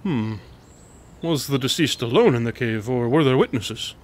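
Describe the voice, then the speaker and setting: A man's voice: medium pitch, expressive, moderate pace, lightly acted. A man playing a composed, inquisitive detective in an audio drama, questioning in a dry, slightly formal, courteous way. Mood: probing.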